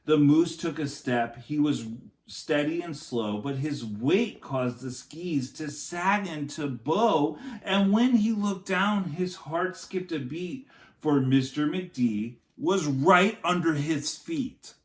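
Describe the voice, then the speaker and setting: A man reading a picture book aloud to young children like a teacher, in an expressive, dramatic way with chatty asides. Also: fairly high pitch, takes it slowly, roomy sound.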